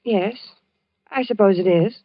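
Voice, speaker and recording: An actress in an old-time radio drama playing a worried, solicitous wife who coaxes and pleads. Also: female voice, medium pitch, measured pace, no room sound, natural voice. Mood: anxious, coaxing. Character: worried, coaxing wife of a troubled man. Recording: muffled vintage radio recording with music.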